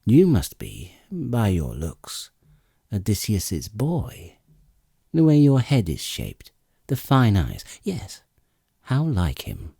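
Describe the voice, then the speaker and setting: Assured goddess in seafarer's guise male reader, epic audiobook; slow, declamatory, widely swooping intonation. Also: on the low side, huge pitch swings, vocal fry, mellow, slightly rough, no echo, studio quality, heavily acted. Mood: confident, foretelling. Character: grey-eyed goddess disguised as a seafaring lord, assured, questioning. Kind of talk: dramatic epic audiobook reading.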